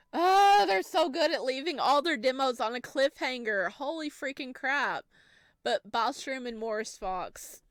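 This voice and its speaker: A woman's voice: sits high, clean tone, no echo, studio quality. A woman gaming YouTuber who reads a horror visual novel aloud expressively and then reacts to it. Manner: expressive, animated reader.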